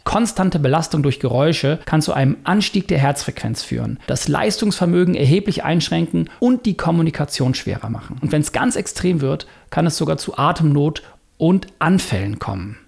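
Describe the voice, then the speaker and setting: A man with a slightly higher-pitched voice that has a husky, gravelly edge. He delivers a scripted voice-over for his own online video, mixing documentary-style narration with casual first-person remarks. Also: darkish tone. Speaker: video creator narrating a self-experiment.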